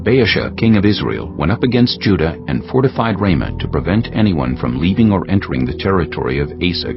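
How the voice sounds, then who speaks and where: A man narrating scripture as a scripted audiobook, in a solemn, measured storytelling manner. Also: sits low, vocal fry. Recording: dull sound with music bed.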